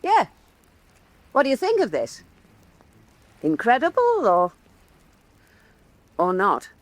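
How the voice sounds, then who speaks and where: An actress playing a proud, intense woman painter in a radio drama, declaiming with scorn and dramatic pauses. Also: on the high side, wildly swooping pitch, no room sound, full character voice. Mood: restless, insistent. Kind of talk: theatrical radio drama acting.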